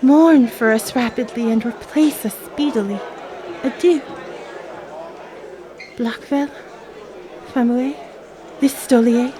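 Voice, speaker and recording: Young Parisian girl, high voice, slow delivery, crowd behind, radio drama. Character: young Parisian working girl reading a letter.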